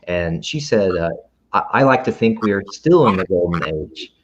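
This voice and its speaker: A man's voice: low-pitched, lively intonation, normal speed. A man casually moderating an online library talk, reading out comments and chatting with a guest. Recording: dull lo-fi online call, clear background noise.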